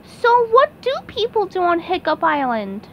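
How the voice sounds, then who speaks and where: Muffled, noisy home recording of a woman playing an eager young male cartoon sponge. The voice is extremely high and whiny, with a childlike, anxious way of exclaiming. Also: very animated, unhurried, heavily acted. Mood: nervous. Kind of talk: hobby cartoon comic dub.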